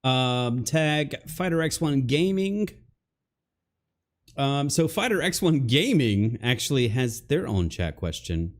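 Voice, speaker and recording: A man hosting a casual online interview, asking questions in a relaxed way and reading out questions from viewers. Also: mid-range voice, slow. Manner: relaxed, reads chat questions aloud. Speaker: interview host.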